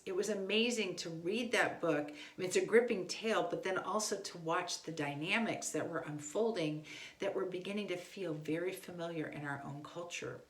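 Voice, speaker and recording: A woman giving casual, conversational instructional advice as a homeschooling parent. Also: sits low. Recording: echoey room.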